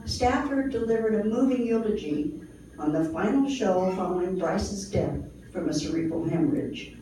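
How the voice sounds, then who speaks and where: Woman, radio show announcer, scripted narration, unhurried, mellow tone, distant. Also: medium pitch. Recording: very echoey, distant, faintly noisy room.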